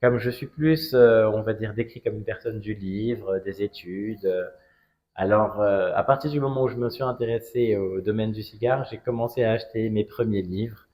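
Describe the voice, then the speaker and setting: A man in his forties from Strasbourg, France, telling his story as an interview guest in a measured, hesitant way with frequent pauses. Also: on the low side, darkish tone. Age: 40s. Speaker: interview guest, cigar brand ambassador. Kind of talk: conversational interview, personal story.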